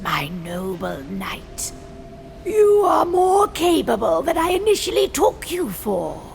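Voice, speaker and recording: A scheming ghostly noblewoman for a game cutscene. Her voice is deeply creaky and unhurried, rising and falling dramatically. She sounds silky, formal and condescending, with faint noise behind her. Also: exaggerated voice. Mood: pleased, condescending. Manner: formal, theatrical, deliberate, condescending. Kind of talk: video game cutscene acting.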